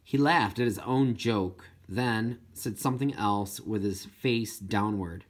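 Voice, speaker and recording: A man reading a first-person children's novel as an expressive audiobook narrator. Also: fairly low pitch, slow. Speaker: audiobook reader.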